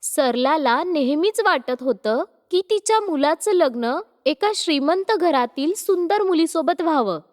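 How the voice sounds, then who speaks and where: A woman's voice: high voice, animated, a little slow, few pauses, darkish tone. A woman reading scripted narration for a cartoon story as the storyteller. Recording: long hall-like reverb.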